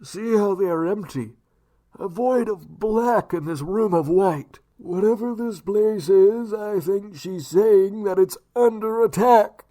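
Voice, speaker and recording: A man voicing a grave, slow masked vigilante. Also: high voice, mellow. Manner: measured, grave, formal. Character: Sandman, grim 1940s masked male vigilante.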